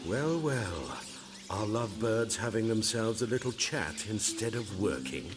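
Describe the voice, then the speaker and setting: A man's voice: on the low side, animated, unhurried. A man playing a sly, cowardly merchant in a fantasy video game, with flowery, theatrical phrasing. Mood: smug, sly. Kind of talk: video game cutscene acting. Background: music.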